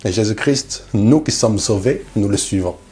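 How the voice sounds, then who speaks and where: A man's voice: lowish voice, lively intonation, normal speed, darkish tone. A man from Algeria, a missionary guest, telling his personal religious testimony in short phrases with rhetorical questions.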